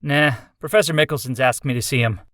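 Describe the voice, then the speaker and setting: A man's voice: medium pitch, fairly quick, fairly bright tone, dry recording, studio quality, natural voice. A young man playing an earnest, skeptical college student in a visual novel. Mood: casual.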